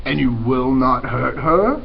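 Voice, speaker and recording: A man voicing a suave, scheming villain rat from a storybook, with sly, pompous, drawn-out enunciation. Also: mid-range voice, lively intonation, creaky. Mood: smooth, sly. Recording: muffled recording with background noise.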